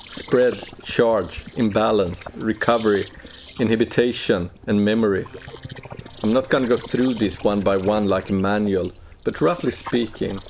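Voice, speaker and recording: A man in his forties narrating a scripted technical walkthrough in a measured, dry, understated way. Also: lowish voice, gravelly, darkish tone, a little hiss or hum. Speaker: plugin developer presenting walkthrough.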